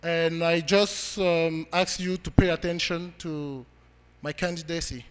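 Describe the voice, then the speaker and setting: A young man, his voice sitting fairly high. He delivers a prepared candidate speech into a podium microphone, pausing often with ums and restarting phrases, and the long reverb of a big hall trails after his words. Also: fairly even intonation, clear, resonant tone. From Cameroon. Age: young adult. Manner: halting, with frequent ums and restarts. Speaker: candidate giving a campaign speech. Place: large conference hall.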